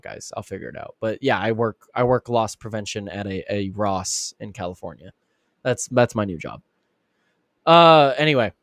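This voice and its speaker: Male live-show host with a raspy, slightly sick voice, mid pitch, rambling delivery, dry close sound. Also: no echo. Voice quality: raspy, deeper than usual. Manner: rambling, many restarts and fillers. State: sick, clearing throat and coughing. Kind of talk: casual live-stream commentary.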